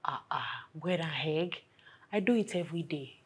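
A woman's voice: sits low, moderate pace, breathy edge. An actress playing a polite young female cook with a Nigerian Yoruba accent who answers everyone with "ma" and "sir". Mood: deferential. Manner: deferential, says "ma" and "sir" often. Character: young woman, new house cook, humble and polite. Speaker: house cook. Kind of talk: scripted web drama.

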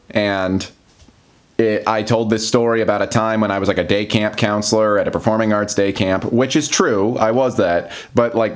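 Low-voiced American man, rambling and self-deprecating. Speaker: vlogger. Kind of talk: casual confessional monologue to camera. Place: small plain room indoors.

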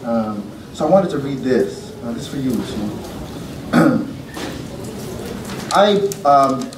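A middle-aged man, a poet, reading his poems at a lectern in a lecture room, with loose, joking, profane asides between them. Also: mid-range voice. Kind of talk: poetry reading with casual asides. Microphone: podium mic from a far camera. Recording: roomy, distant, noisy room sound.

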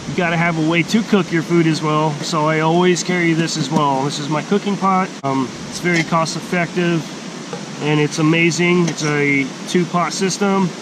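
Middle-aged man, hiking vlog, halting unhurried delivery, outdoors by a stream, steady hiss behind. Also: fairly high pitch. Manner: unhurried, plain-spoken, halting. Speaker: hiking vlogger. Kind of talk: casual gear show-and-tell.

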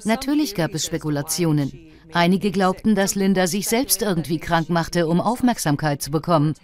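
A woman's voice: fairly low pitch, lively intonation, moderate pace, darkish tone, soft background music, lightly acted. A woman voicing an interviewee who comments on a criminal case in a dubbed documentary. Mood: matter-of-fact.

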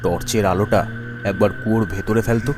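A man voicing a hesitant, fearful young friend in an animated ghost story. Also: lowish voice, a little fast, voice pushed down, natural voice. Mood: wary. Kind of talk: animated horror story dialogue. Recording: music behind the voice.

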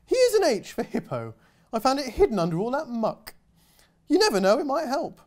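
A shrill, fussy hippo lady in a storybook, loud and swooping very high, as a man puts her on. Every phrase lurches up and down with theatrical fuss. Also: wildly swooping pitch, normal speed, full character voice. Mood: grateful. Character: fussy female hippo who hates dirt.

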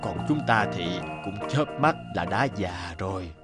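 A man voicing a gentle, wistful old man in a cartoon, reflective and unhurried. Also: low-pitched, animated, over music.

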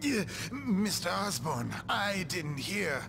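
Game scientist: middle-aged, meek, anxious subordinate, hesitant, creaky and lively in pitch. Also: male voice, takes it slowly, background noise, exaggerated voice. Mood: alarmed. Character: nervous, deferential middle-aged company scientist man. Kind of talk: video game cutscene acting. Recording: loud game music behind.

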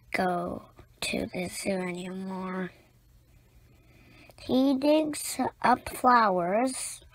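A woman reading a children's picture book aloud as a storyteller, in deliberate, spaced-out phrases with short asides to young listeners. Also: mid-range voice, poor audio.

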